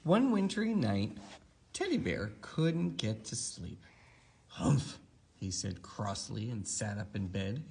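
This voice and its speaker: A man reading a bedtime story to a child, expressive, with drawn-out emphasis on key words. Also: on the low side, creaky, slight background noise.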